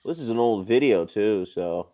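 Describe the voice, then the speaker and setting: A man's voice: fairly low pitch, lively intonation, moderate pace, lo-fi sound. A man chatting casually as a co-host on a stream, commenting on a video. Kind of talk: casual chat reacting to a video.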